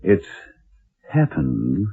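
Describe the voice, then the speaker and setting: An actor playing a grave storyteller, narrating an old-time radio ghost tale in a measured, suspenseful way. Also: male voice, very deep voice, wildly swooping pitch, vocal fry, breathy edge, phone-quality sound, full character voice. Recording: thin old radio recording with music. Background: music.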